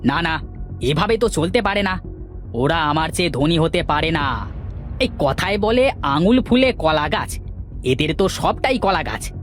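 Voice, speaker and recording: A man's voice: fairly high pitch, animated, unhurried, faint music behind. A man voicing a jealous, scheming village neighbour in a children's cartoon, sly and grumbling. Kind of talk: children's moral cartoon acting.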